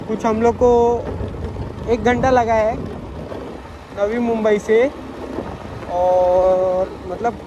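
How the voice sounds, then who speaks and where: A man's voice: very high voice, highs cut off. A young man chatting casually while riding a motorbike, filling his talk with little fillers, recorded on a phone stuck to his helmet. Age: young adult. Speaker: vlogger. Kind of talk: casual moto vlog commentary. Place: outdoors on a motorbike on dusty roads, then a seaside spot. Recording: loud traffic and wind noise.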